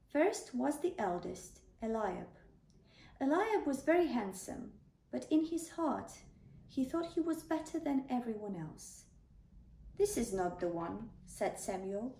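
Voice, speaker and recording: Female bedtime storyteller, high and mellow, gentle deliberate delivery, roomy sound. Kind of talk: children's bedtime story narration. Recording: roomy, distant microphone.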